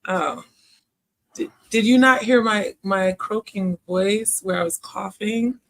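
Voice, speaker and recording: A woman with a deep voice, chatting casually as a guest on a call and laughing at herself often. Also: takes it slowly. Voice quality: deep speaking voice. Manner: self-deprecating, laughs often. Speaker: live stream guest. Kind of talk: casual chat.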